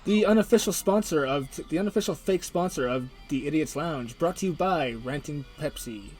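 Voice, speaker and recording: A man with a slightly high voice hosts a laid-back livestream. He jokes his way through viewer messages and reads them aloud. Faint music plays behind him. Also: animated, a little fast, darkish tone, slightly rough. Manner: joking, reads chat aloud, laughs often. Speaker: stream host. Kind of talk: casual livestream chat.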